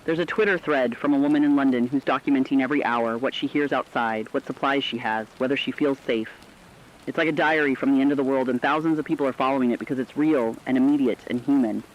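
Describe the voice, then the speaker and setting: A man's voice: medium pitch, quick pace, muffled recording, close to an everyday voice. A man playing a concerned, empathetic radio co-anchor, giving scripted commentary in an earnest, sombre, reflective way. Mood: troubled, earnest. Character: concerned, empathetic male radio co-anchor dwelling on human suffering. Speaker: news co-anchor.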